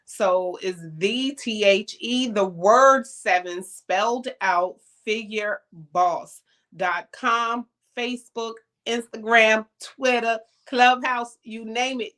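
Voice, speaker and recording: A woman's voice: medium pitch, a bit bright. A woman guest on a talk show, plugging her event and speaking in a motivational way. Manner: motivational, plugs her platforms. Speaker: summit founder guest. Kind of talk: promotional guest interview.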